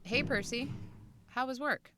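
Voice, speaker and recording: A woman's voice: high voice, measured pace, pushed and bright, dry recording, clean studio sound. A woman playing a chatty, perky barista with a wry streak in an indie audio drama. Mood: wry, exasperated.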